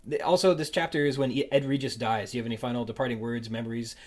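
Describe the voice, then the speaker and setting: A man hosting a casual podcast interview, talking in a halting, conversational way. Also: medium pitch, steady tone. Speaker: podcast host.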